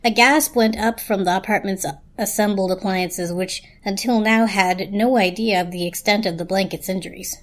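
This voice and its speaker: A woman's voice: mid-range voice, fairly even intonation, normal speed, hardly any pauses. A woman narrating a children's story as a dramatic reading.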